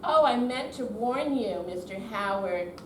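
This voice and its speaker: Brief: woman as glib female bank impostor, high voice, officious and soothing, readers theater, recorded from far off. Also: expressive, unhurried. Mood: soothing, confiding. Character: smooth, reassuring woman con artist posing as bank caller. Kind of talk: amateur readers theater stage reading. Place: indoor senior center performance room. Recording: distant echoey hall, faint noise.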